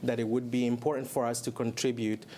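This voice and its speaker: A man's voice: mid-range voice, flat delivery, mellow, a little hiss or hum. Chilean-born man answering interview questions. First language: Spanish. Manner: earnest, measured, with frequent fillers. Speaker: college administrator guest.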